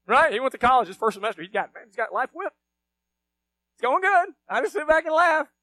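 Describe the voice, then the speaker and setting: High-voiced, bright preacher. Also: male voice, fairly quick. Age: middle-aged. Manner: animated, rhetorical questions to the congregation; shifts between quiet asides and loud emphatic bursts. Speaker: pastor. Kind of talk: sermon.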